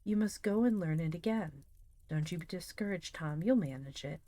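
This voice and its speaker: A woman voicing a kindly older girl in a storybook, gently and patiently encouraging a young boy. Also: on the low side, expressive, normal speed, dry recording, natural voice. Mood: tender, coaxing. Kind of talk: dramatized storybook reading.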